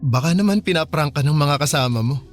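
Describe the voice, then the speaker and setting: An actor playing a helpful young male friend in a radio drama, casual and low-key in his talk. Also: lively intonation, fast talker, faint music behind, lightly acted. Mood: concerned, helpful. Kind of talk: radio drama dialogue in conversational Filipino.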